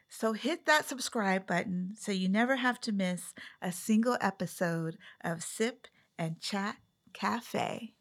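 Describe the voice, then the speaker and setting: A woman's voice: mid-range voice, slow. A woman hosting a podcast in a casual, chatty interview style. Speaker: podcast host.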